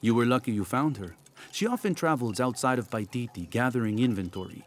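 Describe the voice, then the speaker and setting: A man's voice: mid-range voice, animated, fairly quick, a little hiss or hum, lightly acted. An actor voicing a wise, genial man from a hidden city who speaks in proverbs in a video game cutscene. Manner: warm, sage, proverb-quoting. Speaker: townsman sharing proverbs.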